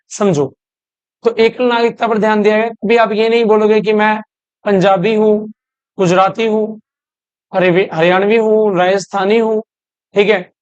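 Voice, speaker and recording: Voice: mid-range voice, no echo. A teacher giving an exam-prep lecture who asks and answers their own questions and repeats key terms word by word, with English terms and "guys" mixed in.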